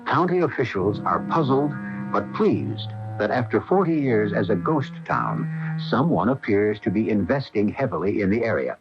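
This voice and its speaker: A brooding radio mystery host from 1980. His medium-pitched voice rises and falls dramatically and deliberately over prominent music, with the muffled sound of an old broadcast. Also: sing-song. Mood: intrigued, ominous. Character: brooding, intrigued mystery narrator reading a news clipping. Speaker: narrator and host.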